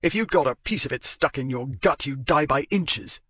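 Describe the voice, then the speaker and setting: A man voicing a hot-tempered, bossy young gentleman who speaks in curt commands. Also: medium pitch, animated, normal speed, breathy edge, dry recording. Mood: angry.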